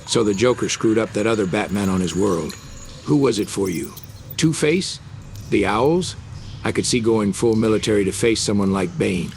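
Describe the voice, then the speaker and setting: Man playing an earnest low-voiced crime fighter. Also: animated, moderate pace, gravelly, a little hiss or hum. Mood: bewildered, accusing. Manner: serious, insistent. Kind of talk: motion comic dub acting. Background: dramatic music.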